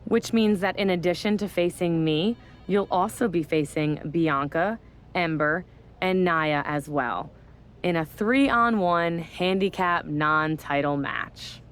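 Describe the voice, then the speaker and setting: A woman's voice: lowish voice, slow, clear, resonant tone, faint music behind. A woman voicing a smug, scheming female wrestling general manager in a video game cutscene, talking down with sarcasm. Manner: condescending, sarcastic.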